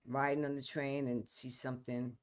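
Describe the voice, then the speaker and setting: A woman's voice: rumbling low, normal speed, no room sound. New york lady chatting, repeats herself, trails off, dry close sound. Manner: animated, repeats words, trails off. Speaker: guest poet and author. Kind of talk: casual conversation.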